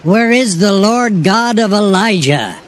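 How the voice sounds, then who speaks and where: Guy voicing an earnest young prophet, high, slow, draws everything out. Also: expressive, background noise. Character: devoted young male prophet Elisha, earnest and pleading.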